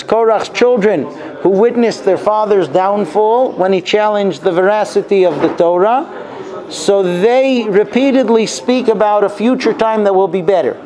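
A man giving a religious class as its teacher, explaining deliberately and telling stories as he goes. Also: high voice, dark tone, poor audio. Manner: deliberate, explanatory, repeats and translates Hebrew phrases. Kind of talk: Torah class lecture with storytelling.

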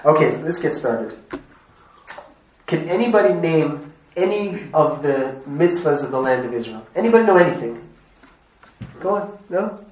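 Man leading a casual class, quizzes the room; higher-pitched, recorded from across an echoey room. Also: expressive, normal speed, pauses often, darkish tone. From Montreal, Canada. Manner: chatty, asks the group questions, laughs often. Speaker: rabbi teaching a class. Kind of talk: informal classroom lesson. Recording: echoey room, mic at a distance, faint noise.

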